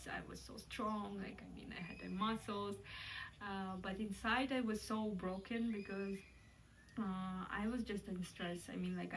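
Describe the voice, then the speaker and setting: A woman's voice: mid-range voice, far from the mic, a little hiss or hum, poor audio. A young Kazakh woman with a Kazakh accent, talking casually and reflectively, hesitating often with fillers. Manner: hesitant, many fillers, light laughs. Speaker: vlogger.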